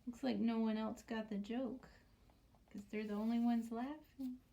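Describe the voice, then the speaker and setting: A woman teacher reading a picture book aloud to young children, commenting and asking questions, often dropping into a hushed near-whisper. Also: on the high side, steady tone, moderate pace. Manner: asks the listening children questions.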